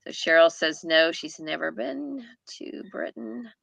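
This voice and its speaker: A woman's voice: deep voice, lively intonation, a little slow, gravelly, a bit bright, rough recording. A woman hosting a casual group book chat, talking in a chatty, playfully teasing way. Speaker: host of a book chat livestream. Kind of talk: casual chat, reading viewer comments. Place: indoors on a group video call.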